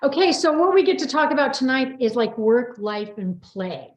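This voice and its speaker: A woman's voice: high-pitched, very animated, moderate pace. A woman hosting a casual group chat interview in an upbeat, chummy, affirming way. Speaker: host. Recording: echoey, dull video-call audio.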